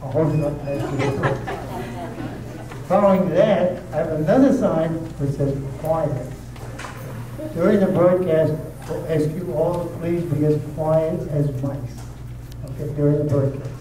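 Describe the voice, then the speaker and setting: A man's voice: fairly high pitch, unhurried, warm tone. A man hosting a community readers theater, chatting with a live audience and often checking in with "okay?". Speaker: host introducing a readers theater show. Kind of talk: casual talk to a live audience. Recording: echoey room, distant mic, noisy.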